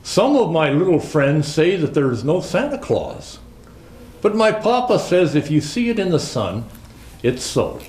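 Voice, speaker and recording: A man's voice: a little high, sing-song, a little mellow. A man playing Santa Claus as a jolly old storyteller, reading Christmas classics to children in a theatrical, lilting manner. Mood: earnest. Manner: slow, theatrical, lilting recitation. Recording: roomy, echoing indoor sound.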